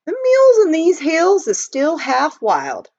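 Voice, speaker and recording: A woman voicing a rough, joshing gold-rush miner with folksy frontier grammar in a storybook reading. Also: high-pitched, wildly swooping pitch, unhurried, darkish tone, pitched above the performer's own voice, heavily acted. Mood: advising. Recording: dull lo-fi home recording.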